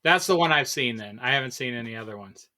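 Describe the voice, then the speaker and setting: A man's voice: mid-range voice, expressive, fast talker, bright, forceful tone. A man bantering casually as a podcast co-host.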